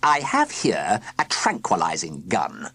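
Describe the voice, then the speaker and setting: A reader voicing a pompous wicked industrialist who announces his threats slowly and grandly. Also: low voice, wildly swooping pitch, gravelly, pushed and bright, husky, heavily acted. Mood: pompous menace. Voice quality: slow, pompous.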